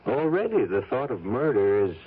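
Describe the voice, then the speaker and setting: An actor playing a blunt, probing psychiatrist in a 1970s radio drama, firm and matter-of-fact. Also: male voice, medium pitch, sing-song, normal speed, breathy edge. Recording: tinny narrow-band 1970s radio recording.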